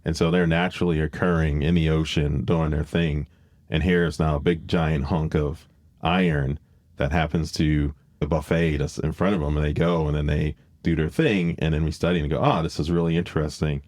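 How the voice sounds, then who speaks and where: Very deep-voiced man explaining casually. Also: fairly even intonation, moderate pace, darkish tone, dry recording, clean studio sound. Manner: explanatory, thinking aloud. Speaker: podcast host.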